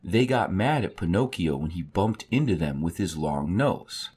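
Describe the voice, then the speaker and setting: Man, children's story narration, lowish voice, lively intonation, slightly raspy. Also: normal speed. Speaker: storybook reader.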